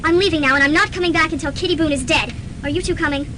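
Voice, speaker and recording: Woman acting a very high, grim college girl. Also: very fast, close to an everyday voice. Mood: resolute. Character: grim, resolute college girl possessed by ghost. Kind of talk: student radio drama acting. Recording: noisy old student radio recording.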